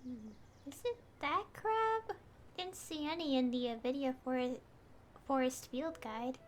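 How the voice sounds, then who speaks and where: A woman's voice: high voice, a little slow, slight background noise. A woman doing casual livestream game commentary, muttering to herself and reading prompts aloud. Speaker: game streamer.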